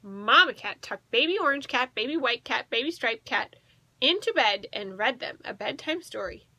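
A woman in her thirties reading a storybook aloud to children as a teacher, expressive and asking the listeners questions. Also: fairly high pitch, normal speed, no room sound. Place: small indoor room with bookshelves.

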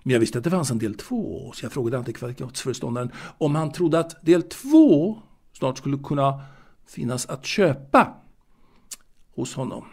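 An actor as a wistful, creaky-voiced man reminiscing. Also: medium pitch, darkish tone. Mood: nostalgic, musing. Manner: hesitant, halting, repeating words. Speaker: actor in an audio drama monologue. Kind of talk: intimate dramatic monologue, confiding reminiscence.

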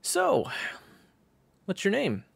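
A man voicing a gruff, sardonic male baseball coach with a brusque, casual drawl in an audiobook story. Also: high-pitched, wildly swooping pitch, drawn-out words, voice pushed up, exaggerated voice. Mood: sardonic, challenging.

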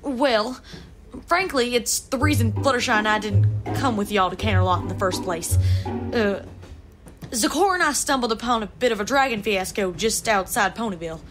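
A woman's voice: a little high, animated, moderate pace, fairly bright tone, soft background music. A woman playing a plain-spoken, loyal young country mare in a fan cartoon, earnest, with a Southern US accent. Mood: uneasy, earnest. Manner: folksy, earnest.

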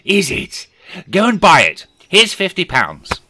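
Storybook miser prime minister, male narrator acting: high, bright, theatrical and exclamatory, with big swooping pitch. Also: wildly swooping pitch, a little slow, slight background noise, heavily acted. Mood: giddy, exuberant. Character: frightened, pleading miser prime minister turned gleefully reformed. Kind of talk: dramatic audiobook character reading.

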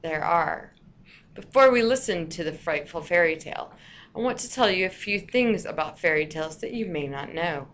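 A woman with a slightly low voice narrates a lesson in the way of a teacher. She speaks deliberately, stressing key words as a storyteller would. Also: expressive, a bit bright. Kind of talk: scripted lesson narration for listeners.

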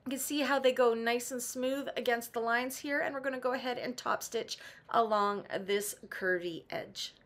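A middle-aged woman with a raspy voice from laryngitis, patiently walking through a sewing tutorial step by step. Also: a little high, moderate pace, fairly bright tone. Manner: patient, practical, with some "um" and restarts. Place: home sewing room.

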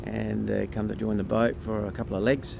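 A man, giving a relaxed, halting casual update as a sailing vlogger, recorded on a handheld camera outdoors. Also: lowish voice, vocal fry. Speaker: sailing vlogger, boat skipper. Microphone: camera held at arm's length. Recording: outdoor wind and boat noise.

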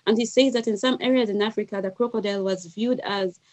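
A woman's voice: medium pitch, fairly even intonation, quick pace, darkish tone. A woman in her thirties with a Ugandan accent, speaking as a panelist on a video call, choosing words hesitantly and thoughtfully. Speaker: guest panelist, wildlife researcher. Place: small indoor room. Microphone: headset on a video call.

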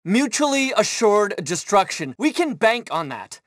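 A vain, jittery tech-mogul villain for a video game. His delivery is glib and excitable, with very lively swings of pitch, recorded dry. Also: unhurried, dry recording, full character voice. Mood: nervous, placating. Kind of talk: scripted video game acting.

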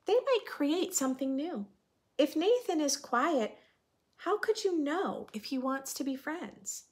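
A woman in her thirties reading a picture book aloud to preschoolers, expressively, in simple phrases with drawn-out key words. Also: high voice, expressive, darkish tone. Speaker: host of a children's read-aloud show. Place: indoor room with bookshelf and armchair.

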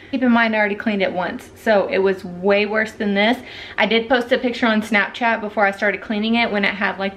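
A woman's voice: mid-range voice, a little hiss or hum. A young woman, chatting casually to camera in her home kitchen, rambling and correcting herself as she goes. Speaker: vlogger. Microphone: handheld camera close to face.

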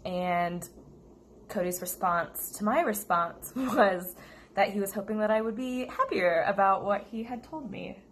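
A woman's voice: medium pitch, a little slow. An American woman talking casually to viewers as a vlog co-host. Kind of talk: casual chat to camera. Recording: steady noticeable background noise.

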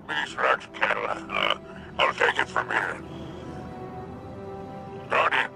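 A man voicing a sly, greedy alien crime boss in a video game, with a deep gravelly voice and an oily, self-satisfied drawl. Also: full character voice, poor audio. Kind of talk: scripted video game dialogue. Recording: music under the voice.

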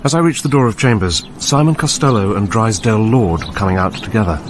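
Middle-aged English commander played by an actor, radio drama: fairly low, reserved, probing, faint noise. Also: male voice, lightly acted. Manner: measured, grave, restrained. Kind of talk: radio drama narration and dialogue.

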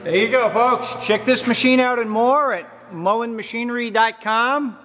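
A man's voice: very high voice, fairly even intonation, normal speed, big-room sound, over music. A man giving a plain, matter-of-fact demo of a machine in a large indoor machine shop, recorded on a handheld camera. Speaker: machinery dealer. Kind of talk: casual product demo.

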